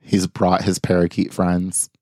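A man's voice: low voice, a little slow, no room sound. A man co-hosting a comedy podcast, chatting casually and acting out silly bits with frequent laughter. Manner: animated, laughs often, acts out silly voices. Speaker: podcast co-host.

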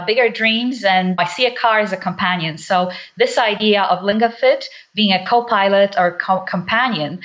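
A woman from Poland, a company founder, speaking as an interview guest at a middling pitch. She talks thoughtfully, with occasional hesitations. The audio is muffled and lo-fi. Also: a bit bright. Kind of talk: interview answers. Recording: dull lo-fi line.

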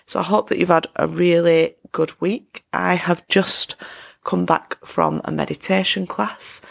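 A woman hosting her own podcast, chatting in a casual, upbeat self-help way with a croaky voice. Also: deep voice. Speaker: podcast host. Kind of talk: casual solo podcast chat.

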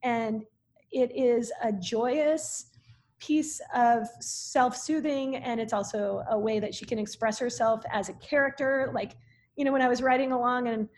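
A woman film director telling stories casually in an interview, in an animated, chatty way. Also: sits high.

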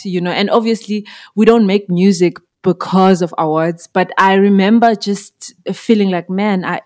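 Woman, 30s, from Zimbabwe, lowish voice, thoughtful musician guest. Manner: reflective, with fillers and soft laughs. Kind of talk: podcast interview.

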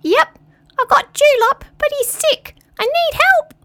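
A woman voicing a small, plucky male clawed creature with a squeaky, strained voice. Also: measured pace, fairly bright tone. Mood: strained urgent.

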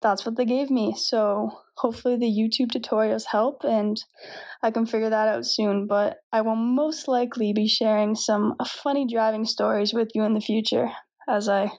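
A woman's voice: fairly high pitch, fairly even intonation, moderate pace, darkish tone. A Canadian woman in her twenties, a professional volleyball player, giving an upbeat, self-deprecating update. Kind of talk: scripted update to camera.

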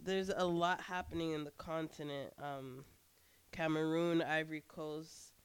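A woman's voice: low voice, steady tone, a little slow, clean tone, dry recording. A woman co-hosting a podcast in casual chat at her co-host's home, sounding stuffy from a cold and talking candidly with many restarts. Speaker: podcast co-host.

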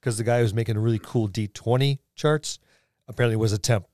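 A male podcast co-host chats casually about tabletop gaming. His voice sits at an average pitch, and the sound is close and dry, with very little room in it. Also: a little fast, a little mellow, no room sound.

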